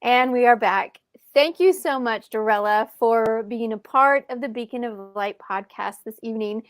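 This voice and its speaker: Woman, podcast host, upbeat, welcoming, a little high-pitched. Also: normal speed, no room sound, clean studio sound. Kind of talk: podcast interview.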